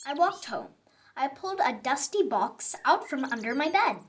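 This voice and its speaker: A woman's voice: sits high, sing-song, soft background music. A woman voicing a dramatic little sour grape who tells its own story in a children's picture book read-aloud, with theatrical emphasis. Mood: calm, wistful. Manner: theatrical, exclaiming, drawn-out emphasis on words.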